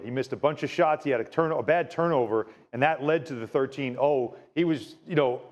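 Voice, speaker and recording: A man analyzing sports, recounting game details step by step. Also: medium pitch. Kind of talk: sports debate chat.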